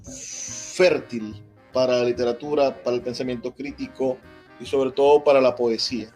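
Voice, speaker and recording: A man speaking off the cuff at a public ceremony, deliberately, pausing to search for his words. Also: medium pitch, steady tone, darkish tone, faint music behind. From Venezuela. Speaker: festival organizer giving a speech. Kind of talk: extemporaneous ceremonial speech. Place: theatre stage at a public event.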